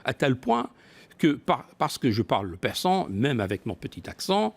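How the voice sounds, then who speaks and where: Male expert guest, medium pitch, broadcast interview, halting and self-correcting delivery. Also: sing-song. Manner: hesitant delivery, often repeating and rephrasing words. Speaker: business expert guest, former company executive.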